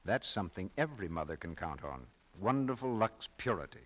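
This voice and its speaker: A man's voice: low-pitched, sing-song, brisk, a bit bright. An American man working as a commercial announcer, reading radio advertising in 1940s style.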